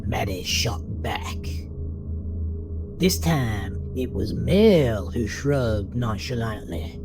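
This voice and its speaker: Male narrator for horror audio drama, unhurried, animated pitch, dramatic storytelling over music. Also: gravelly.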